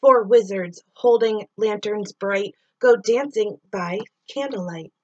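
A middle-aged woman doing an expressive read-aloud of a rhyming children's story, drawing out words and sound effects like a teacher reading to pupils. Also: mid-range voice, slow pace, muffled recording.

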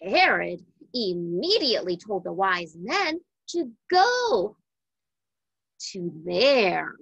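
A woman reading a story aloud to children with deliberate, dramatic phrasing. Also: medium pitch, sing-song, creaky, fairly bright tone, breathy edge, no echo, poor audio. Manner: deliberate, dramatic phrasing with questions to young listeners. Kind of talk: expressive scripted read-aloud for children.

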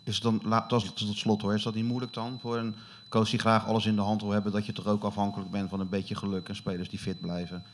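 A male reporter with a lowish voice asks questions at a press conference. He halts and repeats words as he goes, with faint noise behind him. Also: flat delivery. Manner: halting, repeats words while asking. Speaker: journalist.

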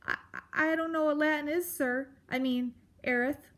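Casting: tiny storybook girl mouse, played by a woman, high voice, meek, courteous, apologetic. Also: animated, slow. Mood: nervous, pleading. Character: small timid polite young girl deer mouse. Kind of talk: children's storybook character voice.

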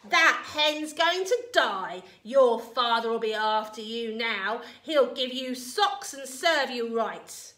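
A woman voicing a crabby, bossy old grandma from a storybook, yelling scornfully in drawn-out words. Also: sits high, very animated, exaggerated voice. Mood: scolding. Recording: roomy echo, distant from mic.

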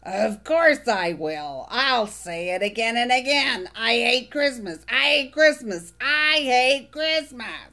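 A woman's voice: fairly high pitch, expressive, slow, hardly any pauses, sharp tone, voice pushed up, exaggerated voice. A woman voicing a grumpy male monster who lives in a trash can, grumbling sourly in a storybook reading. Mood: grouchy. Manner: grumbling, sour.

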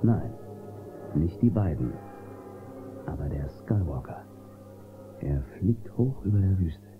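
A man playing a calm, brave space-western marshal with a measured, reassuring manner in a German children's audio drama. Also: low-pitched, music in the background. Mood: resolute. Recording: tinny, noisy old cassette recording.

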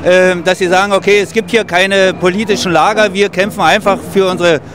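A man's voice: high voice, fairly even intonation, a little fast, noisy background. A man who organizes protests, giving a fluent, rallying street interview outdoors. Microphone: handheld interviewer mic. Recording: loud crowd behind.

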